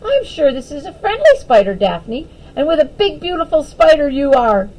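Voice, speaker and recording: A woman's voice: high voice, big pitch swings, moderate pace, darkish tone, pitched above the performer's own voice, low-quality recording. A woman voicing a sweet, earnest, brave young female character from a children's storybook. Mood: delighted, gentle.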